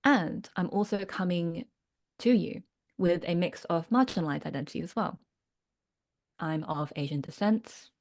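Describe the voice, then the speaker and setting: A woman with a slightly low voice leads a video-call workshop for business owners. She speaks earnestly and reflectively, pausing to check in with her listeners. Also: darkish tone. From United Kingdom. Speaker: workshop leader and business coach. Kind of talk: online workshop talk.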